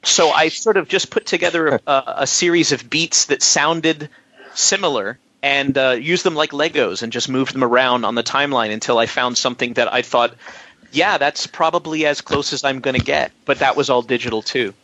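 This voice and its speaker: A man chatting casually as a musician guest in an interview, telling stories in an animated, self-deprecating way and acting out remarks. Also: mid-range voice, moderate pace, muffled recording, slight background noise.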